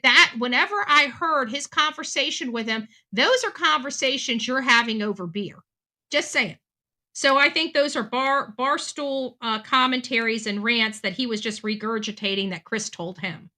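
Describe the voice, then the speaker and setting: A woman's voice: on the high side, moderate pace, bright, forceful tone. A woman giving unscripted, opinionated true crime commentary in an emphatic way, repeating phrases for stress.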